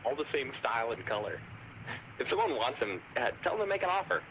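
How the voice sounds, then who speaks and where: Lively, bright male bargain-hunter caller. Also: mid-range voice, fast, a little hiss or hum, rough recording. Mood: chatty, pleased. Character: chatty, cheerful male bargain hunter calling a radio show. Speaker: radio caller. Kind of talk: radio drama acting in a video game.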